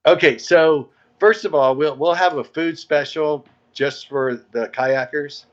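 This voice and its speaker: A man's voice: a little high, unhurried, dull audio. A man chatting casually as an interview guest, explaining plans as an event organizer.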